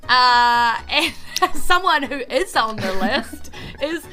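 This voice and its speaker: A woman's voice: sits high, lively intonation, slow pace, bright, forceful tone, soft background music. A woman with a New Zealand accent, hosting and narrating a tabletop game podcast as game master.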